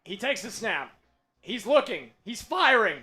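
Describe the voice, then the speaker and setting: A man calling football plays live as a fan for a watch-party stream, losing his voice from screaming, with big plays yelled and quieter talk hushed and strained. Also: sits high, big pitch swings, measured pace, soft background music. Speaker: watch party play-by-play host. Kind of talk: excited fan play-by-play on a livestream.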